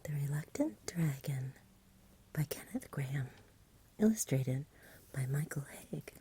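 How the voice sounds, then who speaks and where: A woman speaking in a soft, soothing whisper in an ASMR bedtime roleplay, gentle and unhurried as if talking to a child. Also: low voice, expressive, slight background noise. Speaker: ASMR performer playing a caregiver at bedtime.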